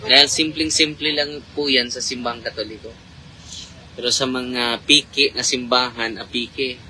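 Chatty priest with a bright voice. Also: male voice, mid-range voice, fairly even intonation, moderate pace, slight background noise, low-quality recording. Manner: chatty, laughs often, switches between Tagalog and Cebuano. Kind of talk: casual live-stream chat. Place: inside a car in traffic at night. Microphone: phone held at arm's length.

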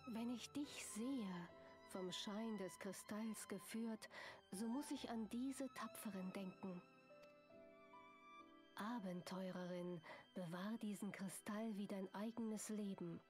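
A woman plays a serene seer and leader in a fantasy game. She speaks slowly and formally at a middle pitch, with gentle authority, over background music. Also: lightly acted. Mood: solemn, reverent. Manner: measured, solemn, formal. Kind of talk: scripted dramatic game narration and dialogue.

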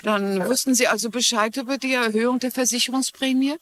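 A woman's voice: fairly high pitch, steady tone, fairly quick, a bit bright, slight background noise, lightly acted. An actress playing a shrewd, courteous older woman amateur sleuth in a German-dubbed TV crime drama, composed and inquisitive. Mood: composed, probing.

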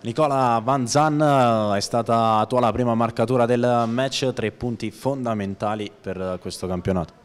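A man interviewing players after a match, asking leading questions with pauses and fillers. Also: medium pitch, clean tone, no room sound. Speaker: sideline interviewer.

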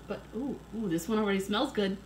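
A woman in her thirties gives a children's read-along at a medium pitch. She speaks expressively, like a teacher, in simple phrases that explain and prompt young listeners. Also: fairly quick, a little hiss or hum, poor audio. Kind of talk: picture-book read-aloud with friendly explanations to children. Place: indoors at home, kitchen and a room.

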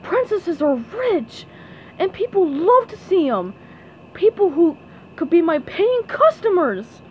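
Scheming male crab boss played by a woman, money-hungry, high and animated, muffled recording. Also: slow pace, slight background noise, exaggerated voice.

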